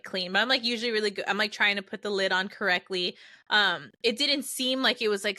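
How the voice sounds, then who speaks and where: A woman's voice: medium pitch, sharp tone, dry recording, studio quality. A woman in her late twenties co-hosting a casual podcast, playful and blunt with her jokes. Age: late 20s. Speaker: podcast co-host. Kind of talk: casual chat.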